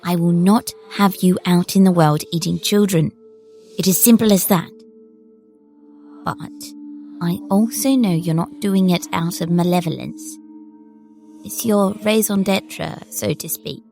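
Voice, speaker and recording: A woman playing a stern, precocious little girl in an audio horror drama, speaking in a formal, measured way. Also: fairly low pitch, creaky, dark tone. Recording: music under the voice.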